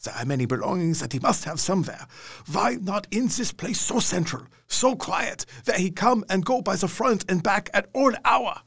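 An earnest, learned older professor, played by a man in a radio drama. He reasons step by step in slightly broken English, in a gravelly, husky voice with a lively rise and fall. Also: high voice, normal speed, full character voice. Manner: earnest, reasoning, foreign grammar.

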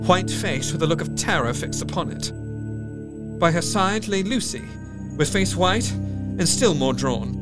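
Victorian doctor-narrator , male actor, gothic audio drama: grave, measured, lightish-pitched, scored with soft music. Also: lightly acted. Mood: grave, horrified. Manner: measured, solemn, literary. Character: grave, shaken Victorian male doctor recounting his diary. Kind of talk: dramatic scripted first-person narration.

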